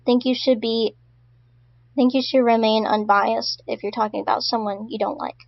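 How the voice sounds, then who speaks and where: A woman's voice: a little high, steady tone, moderate pace, vocal fry, no room sound, highs cut off. A woman streaming casually to her chat, stuttering often and repeating her words. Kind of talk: casual live-stream commentary.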